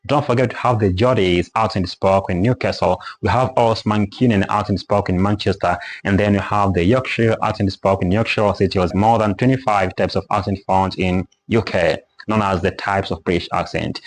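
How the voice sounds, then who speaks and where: A man teaching English pronunciation in a casual spoken lesson, explaining step by step and slowly repeating example words. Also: on the low side, low-quality recording.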